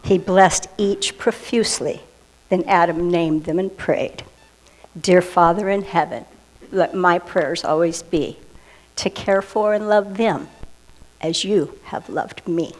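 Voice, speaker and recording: A woman telling a story and rhyming poem to children, expressive, with hushed moments. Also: lowish voice, slow pace, rough recording.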